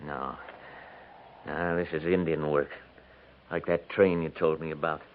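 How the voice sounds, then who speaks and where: A noisy, tinny 1950s radio western. a homesteader, a man with a very low voice and rustic, folksy speech. He is weary, stubborn and short with words. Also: little pitch movement, measured pace. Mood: bitter.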